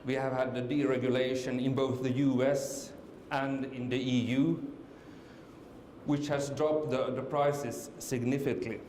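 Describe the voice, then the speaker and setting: A middle-aged man from Finland gives a conference talk, stopping often with ums and repeated words. His mid-pitched voice rings around a large echoey hall. A faint hiss sits under the speech. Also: flat delivery, unhurried, darkish tone. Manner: hesitant, many fillers and restarts. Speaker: conference speaker. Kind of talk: conference presentation.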